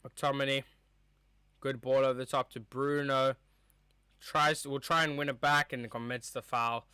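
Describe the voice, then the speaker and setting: Young male streamer calling a match live; loose and chatty, crisp clear voice. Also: mid-range voice, flat delivery, unhurried, sharp tone, no room sound, clean studio sound. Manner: rambling, chatty, reacts to play as it happens. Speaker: streamer hosting a match watchalong. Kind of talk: casual live match commentary. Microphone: streaming mic with a cover on top.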